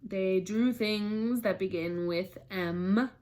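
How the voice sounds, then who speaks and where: Lady doing a sleepy lil dormouse guy from a storybook, weak hoarse voice, real slow. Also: mid-range voice, darkish tone, lightly acted. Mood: drowsy. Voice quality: hoarse, feeble. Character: sleepy, feeble little male dormouse telling a tale.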